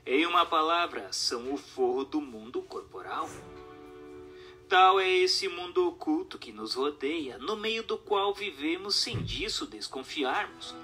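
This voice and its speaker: A man's voice: a little high, pushed and bright. A Brazilian man reading a book aloud as scripted narration, in a formal, didactic manner. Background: background music.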